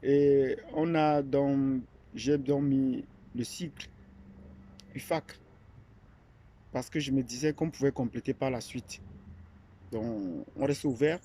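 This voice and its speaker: Man from Cameroon, mellow hesitant voice. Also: medium pitch, steady tone, slow, long pauses, slight background noise. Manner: hesitant, many fillers and restarts. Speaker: academic presenting his platform. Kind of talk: unscripted monologue.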